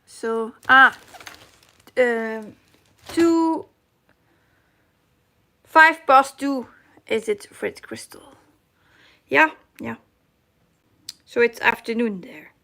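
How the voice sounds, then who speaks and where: A woman's voice: fairly high pitch, drawn-out words, long pauses, sharp tone. A middle-aged woman with a Dutch accent hosting a casual live chat, reading comments aloud and searching for her English words. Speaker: cross-stitch live stream host.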